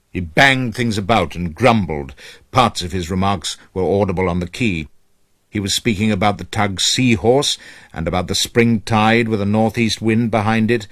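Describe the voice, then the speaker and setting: A man narrating a children's story from a script as a storyteller, reading aloud in an expressive, measured manner. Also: lowish voice, dry recording, clean studio sound.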